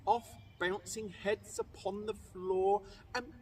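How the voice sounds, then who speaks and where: A man's voice: high-pitched, steady tone, slow. A man with a British accent reading rhyming verse aloud to children in a theatrical, emphatic way. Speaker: primary school teacher reading aloud. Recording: distant microphone, faint background noise.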